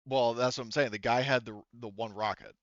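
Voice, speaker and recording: A man acting as game master, narrating a tabletop roleplaying game in a halting, thinking-aloud way. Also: medium pitch, steady tone, no room sound, highs cut off. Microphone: headset.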